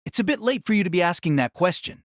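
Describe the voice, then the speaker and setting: A woman voicing Leifon, a young male swordsman, answering calmly and briefly in an audio drama. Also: fairly low pitch, fast, no echo, clean studio sound.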